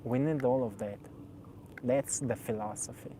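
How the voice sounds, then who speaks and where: A man in his twenties whose first language is Slovenian, talking reflectively to camera. He speaks gently and searchingly, repeating phrases as he builds a thought. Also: medium pitch, unhurried, background noise. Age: 20s. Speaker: spiritual video host. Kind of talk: reflective spoken monologue.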